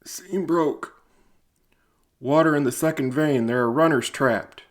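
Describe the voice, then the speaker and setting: A man voicing a shaken miner in an audio drama, reporting in terse, halting, urgent phrases. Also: fairly high pitch, voice pushed up.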